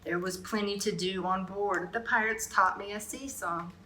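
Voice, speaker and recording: A woman's voice: mid-range voice, steady tone, normal speed, bright, forceful tone, slight background noise. A woman storyteller reading a picture book aloud expressively, slipping in brief asides to young listeners. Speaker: children's storyteller.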